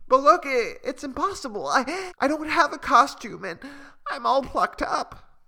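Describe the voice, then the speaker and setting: A man's voice: extremely high pitch, sing-song, measured pace, vocal fry, bright, forceful tone, pitched above the performer's own voice, exaggerated voice. A man voicing a timid young female ostrich who stammers and pleads shakily in a storybook reading. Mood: flustered.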